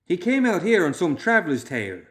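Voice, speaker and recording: A man voicing a bitter young male farmhand who blurts out rough country talk. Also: mid-range voice, animated, moderate pace, a bit bright, lightly acted. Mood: angry.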